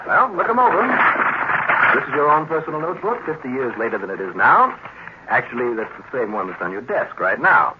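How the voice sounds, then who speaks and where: An actor playing a self-assured, talkative young time traveller who is fussily polite and insistent, in 1950s radio drama style. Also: male voice, mid-range voice, sing-song, a little fast, lightly acted. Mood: insistent, confident. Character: brisk, chatty, self-assured young male time traveller, meddling grandson. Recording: muffled, noisy 1950s radio recording.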